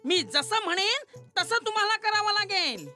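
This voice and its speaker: Comic schemer for a cartoon dub, piping high voice, self-assured and excitable, music running behind. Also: male voice, moderate pace. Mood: confident. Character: scheming, boastful cartoon know-it-all man, comically panicky.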